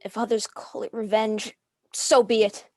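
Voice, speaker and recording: A woman's voice: medium pitch, flat delivery, measured pace, a little mellow, no room sound, soft background music. A woman playing a grieving, defiant widow bent on revenge in a dramatic script reading. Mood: vengeful resolve.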